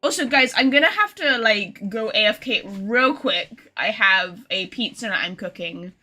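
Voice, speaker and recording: A woman livestreamer giving casual, animated commentary over a video game, often in incredulous asides. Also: mid-range voice, measured pace. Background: faint game music.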